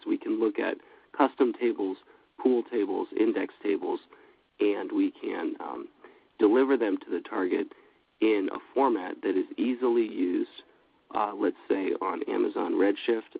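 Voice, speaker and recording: A low, gravelly man with a cold presents at a steady, businesslike pace, with frequent fillers. The sound is thin and phone-like and very dry. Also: dry recording. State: has a cold. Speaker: webinar presenter. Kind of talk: scripted product presentation.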